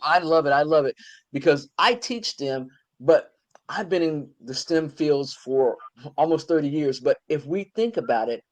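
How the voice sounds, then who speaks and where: An older woman hosts an interview. She chats with lively enthusiasm and an easy, conversational flow. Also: sits low, moderate pace. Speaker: host.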